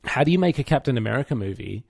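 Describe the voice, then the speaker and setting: A man's voice: mid-range voice, animated, rapid-fire, vocal fry, darkish tone, husky, no room sound. A man co-hosting a podcast, chatting casually about pop culture with dry humour and informal, sweary phrasing. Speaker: podcast co-host.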